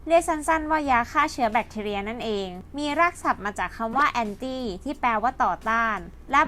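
A woman's voice: a little high, sharp tone, a little hiss or hum. A woman hosting and narrating a scripted educational piece, explaining politely to her viewers. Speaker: host and narrator.

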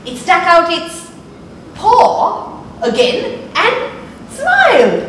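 Slow, bright, high Sri woman storyteller. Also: wildly swooping pitch. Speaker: children's storytelling host. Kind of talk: expressive read-aloud for children. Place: living room sofa, indoors. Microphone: lapel mic. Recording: very echoey room, background noise.

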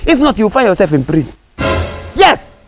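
A man's voice: high-pitched, wildly swooping pitch, brisk, exaggerated voice. A man playing a bossy compound caretaker, who scolds and threatens in a comedy skit. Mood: scolding. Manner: scolding, repeats himself. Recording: music bed behind.

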